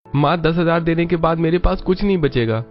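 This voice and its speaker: A man voices a mild young husband in a cartoon family drama. He sounds earnest and downhearted, fairly high in pitch, in slightly dull audio with music behind. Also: a little fast, close to an everyday voice. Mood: reluctant. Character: dutiful, downhearted young married son.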